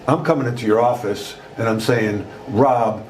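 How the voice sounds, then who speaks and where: A man in his sixties, a former basketball coach and author, telling leadership stories in a loud, emphatic way as a podcast guest. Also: lowish voice, brisk, slight background noise. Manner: loud, emphatic, animated storyteller. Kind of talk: conversational storytelling interview.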